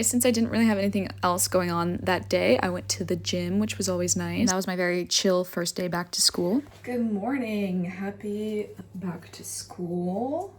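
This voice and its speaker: Young adult female, American accent, slightly low register; casual student-vlogger delivery. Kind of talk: casual vlog chat with voice-over recaps.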